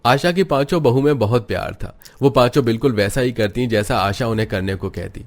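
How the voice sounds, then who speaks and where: A man's voice: medium pitch, fairly quick. A man reading scripted narration for a cartoon family story as its narrator.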